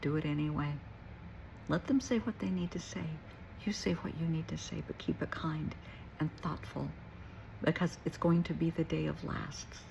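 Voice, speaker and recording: A woman's voice: low-pitched, vocal fry, slight background noise, low-quality recording. A middle-aged woman giving a reflective personal talk to listeners, earnest and emphatic, repeating short phrases for weight. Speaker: therapist and nurse.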